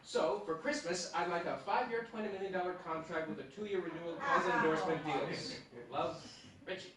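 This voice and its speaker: A man's voice: a little high, a little fast, crowd noise, close to an everyday voice. A man playing an ambitious eleven-year-old boy who loves sports, reading a letter in a live stage radio comedy. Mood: earnest, businesslike. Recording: very echoey hall, far off, noisy.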